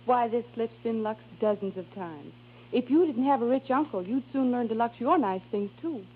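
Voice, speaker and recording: A pert, practical young movie extra, played by an actress in a 1930s radio advertising sketch. She speaks slangily in a fairly high voice, over a narrow, tinny recording. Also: expressive, normal speed, a little hiss or hum, natural voice.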